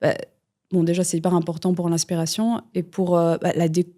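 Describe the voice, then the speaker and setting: Mellow low woman, rambling. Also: flat delivery, fairly quick. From Belgium. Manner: rambling, many fillers and restarts. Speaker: guest musician. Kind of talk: casual interview talk.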